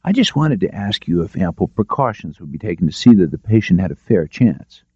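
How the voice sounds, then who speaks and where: A man's voice: deep voice, very animated, fairly quick, a little mellow, husky, dry recording, highs cut off, full character voice. A man voicing a composed, probing lawyer who speaks directly, in a detective audiobook. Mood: polite. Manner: direct, questioning. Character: shrewd, composed, probing male defence lawyer.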